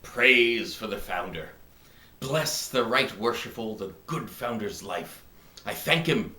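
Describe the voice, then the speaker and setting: A man playing a smug, contented kept husband in a theatrical, gleeful Elizabethan stage comedy monologue, with drawn-out phrasing. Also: on the low side, animated, pushed and bright, exaggerated voice. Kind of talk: classical stage monologue acting. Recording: echoey room.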